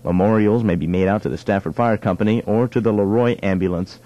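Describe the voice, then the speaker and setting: A man's voice: on the low side, fast, warm tone, lo-fi sound. A young man reading a scripted local radio news bulletin, now and then stumbling over his words. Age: young adult. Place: radio studio.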